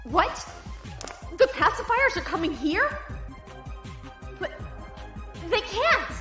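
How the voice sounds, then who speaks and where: An actress playing an earnest, alarmed captive teacher in a science fiction audio drama. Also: female voice, very high voice, very animated, slow, reverberant, music in the background, heavily acted. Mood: horrified, pleading. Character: alarmed, earnest young female teacher held prisoner.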